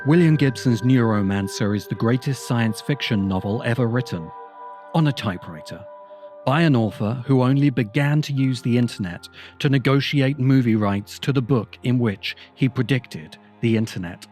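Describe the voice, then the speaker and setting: A man reading a scripted video essay in an earnest, measured, literary manner. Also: medium pitch, a little mellow. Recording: music bed under the voice. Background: background music.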